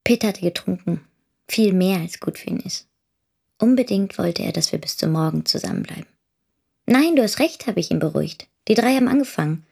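A young woman speaks in a lowish, breathy voice with heavy creak. She is a wounded daughter in a radio drama, subdued and matter-of-fact. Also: lively intonation, a little fast, a little mellow, full character voice. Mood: hurt, subdued. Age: young adult. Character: wounded, plain-spoken teenage daughter recounting her ordeal.

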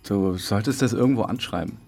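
A man's voice: on the low side, fairly quick, creaky, darkish tone. An actor playing a brooding, troubled man in an intimate radio drama, with hesitant delivery. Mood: hesitant, subdued. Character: brooding, troubled husband drifting into an affair. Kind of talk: intimate radio drama dialogue and inner monologue. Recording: music under the voice. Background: music.

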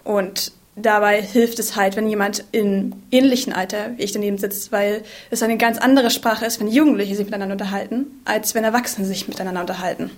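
Young woman, 20, interviewee, deliberate and thoughtful delivery. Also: mid-range voice, fairly even intonation. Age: 20s.

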